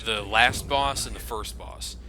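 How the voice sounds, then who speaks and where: A man's voice: fairly low pitch, measured pace, bright, forceful tone, a little hiss or hum. A man giving casual video game commentary in an informal, sweary way. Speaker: gameplay commentator. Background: game music and sound.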